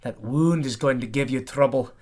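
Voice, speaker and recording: Man playing a gruff, courteous warrior priest. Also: mid-range voice, normal speed, a little mellow, lightly acted. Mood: grave, caring. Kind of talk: fantasy audio drama acting.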